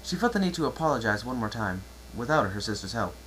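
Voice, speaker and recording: An amateur reader narrates a story with theatrical flair. The voice is mid-to-low and very lively in pitch. There is a faint hum in the recording. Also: quick pace, poor audio. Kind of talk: dramatic reading of fan fiction, narration.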